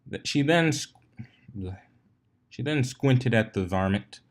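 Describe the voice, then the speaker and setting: A man's voice: medium pitch, expressive, slow pace. A man narrating a story expressively, occasionally stumbling over a word and correcting himself. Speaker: fan fiction reader. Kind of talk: narrated story reading with character voices.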